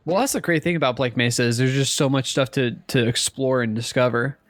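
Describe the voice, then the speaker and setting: A man's voice: medium pitch, brisk, dry recording. A man co-hosting a podcast, chatting casually about games in an informal, conversational way. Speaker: podcast co-host. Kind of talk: casual gaming chat.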